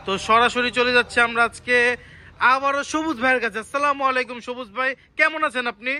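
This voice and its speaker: A man's voice: very high voice, fairly even intonation, fast, sharp tone. A Bangladeshi man hosting a casual product demonstration, enthusiastically repeating and confirming points. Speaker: video host and toy shop promoter.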